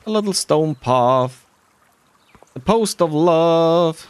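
A man gives casual commentary over a video game, thinking aloud with dry self-deprecation. His pitch swings around a lot while the pace stays unhurried. The voice is clean and smooth, with light music underneath. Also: lively intonation, no room sound. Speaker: gaming video creator.

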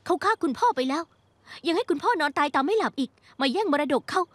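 A woman's voice: very high-pitched, animated, fast, no echo. An actress playing a distraught, insistent young woman in a dubbed TV melodrama, pleading and emotional. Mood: bitter, pleading. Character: distraught, insistent young woman fighting for her father's inheritance.

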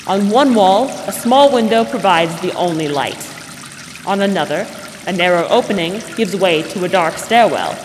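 A woman's voice: medium pitch, lively intonation, normal speed. A young woman narrating a scripted radio drama in 1940s style as announcer on a stage. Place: large theatre stage, indoors. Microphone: stand microphone. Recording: reverberant stage hall, faint noise.

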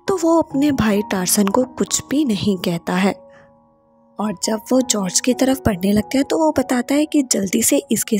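Woman narrating a film recap over music. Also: on the high side. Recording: voice-over with loud background music.

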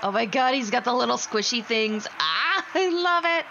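A woman's voice: fairly high pitch, lively intonation, normal speed, creaky, muffled recording, over music. A woman chatting casually while she plays a video game, reacting with enthusiastic, gushing exclamations. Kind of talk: casual gaming chat and reactions.

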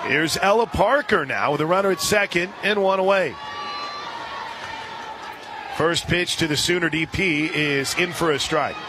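An American man doing live radio play-by-play commentary of a softball game. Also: a little high, measured pace, pauses often, noisy background. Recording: outdoor stadium broadcast with crowd and music. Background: ballpark crowd and music.

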